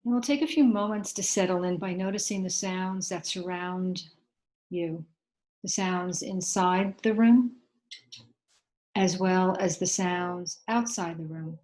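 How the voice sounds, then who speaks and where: A woman leading a guided meditation in a gentle, unhurried way, leaving long pauses between short instructions. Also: lowish voice.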